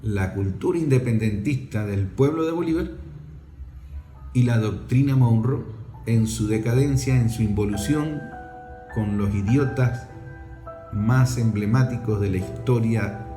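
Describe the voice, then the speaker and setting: A man's voice: mid-range voice, measured pace, warm tone, faint music behind. Scornful male political commentator in echoey room. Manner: emphatic, scornful, rhetorical questions. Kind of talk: opinionated political monologue.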